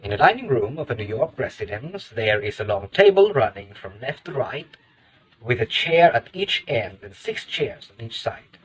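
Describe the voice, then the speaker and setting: A man's voice: medium pitch, big pitch swings, moderate pace, natural voice, poor audio. A man playing a boss who reads a play script aloud as scripted narration. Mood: descriptive, measured. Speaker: narrator reading stage directions. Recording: faint background noise and ambience.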